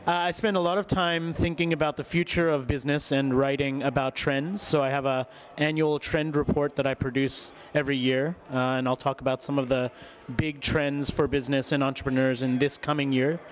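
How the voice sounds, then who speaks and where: A man in his thirties introducing himself conversationally as a speaker on a conference stage. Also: medium pitch. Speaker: panel speaker, marketing author. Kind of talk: conversational self-introduction to an audience. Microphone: gooseneck microphone at seat.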